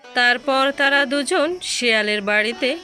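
A woman narrates a cartoon tale in a bright, forceful voice. Her tone sits fairly high, with faint music behind her scripted storytelling. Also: normal speed.

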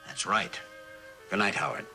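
An actor playing a driven, weary, idealistic scientist in a mid-century television drama, terse and authoritative. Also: male voice, normal speed, pushed and bright, soft background music. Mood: stern.

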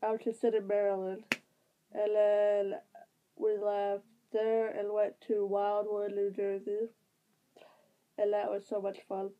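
A woman's voice: medium pitch, completely flat delivery, slow. A young woman with a stuffy nose, rambling casually through a vlog update while lying on her bed. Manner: rambling with many fillers and restarts. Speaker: vlogger. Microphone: device camera at close range. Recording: tinny phone-like sound.

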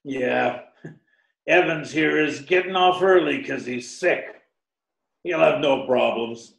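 An older actor playing a gruff, slangy, impatient corrupt jail guard in a radio mystery. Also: male voice, on the high side, slow pace, fairly bright tone, exaggerated voice. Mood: curt. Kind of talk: old-time radio play acting. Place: home room, video call. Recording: roomy home video call.

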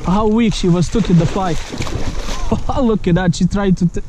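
A man vlogging his fishing outdoors from a head-mounted camera, speaking non-native English, calm with bursts of excitement. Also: high voice, moderate pace, noisy background. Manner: talks to viewers, calm, then bursts of excited exclamations. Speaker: angler filming his fishing trip. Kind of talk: casual outdoor fishing vlog. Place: outdoors on a canal towpath. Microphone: head-mounted action camera.

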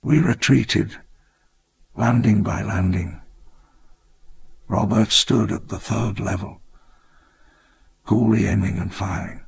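A slow, gravelly old park founder. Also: male voice, fairly low pitch, fairly even intonation, exaggerated voice. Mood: reflective. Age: older. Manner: measured, reflective, grandly solemn. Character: elderly grandiose dinosaur-park founder, wistful. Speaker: narrator of his own memoir. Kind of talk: scripted memoir narration for a game.

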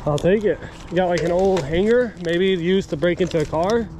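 A man's voice: high voice, normal speed, background noise. A man hosting a casual magnet fishing vlog, narrating his finds by a creek with an action camera. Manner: upbeat running commentary on finds. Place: outdoors by a creek under a bridge.

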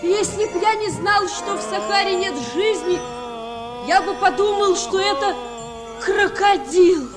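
A man's voice: very high voice, unhurried, over music. A man playing an eager, curious young boy in a children's radio play, wide-eyed and full of questions. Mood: excited. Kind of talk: mid-century Soviet children's radio play acting.

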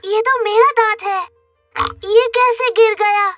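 A performer voicing a cheerful, bossy little girl piglet in a children's cartoon, with a childlike, expressive delivery. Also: very high-pitched, takes it slowly, no echo, distant mic, faint music behind, full character voice. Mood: curious. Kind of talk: children's cartoon dub dialogue.

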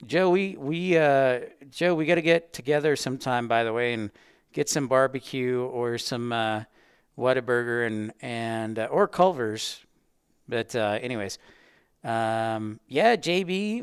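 Male educator-host for a casual livestream. Mid-pitched, clean voice, rambling conversational delivery, very little room sound. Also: normal speed, smooth, no room sound, clean studio sound. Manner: chatty, rambling, addressing viewers by name. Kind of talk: casual livestream chat with viewers.

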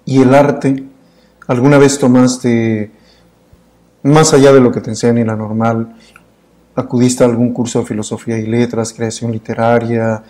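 Middle-aged guy hosting a studio interview, mellow tone, long rolling questions. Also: mid-range voice, flat delivery, moderate pace. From Mexico. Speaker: interview host. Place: dark indoor studio.

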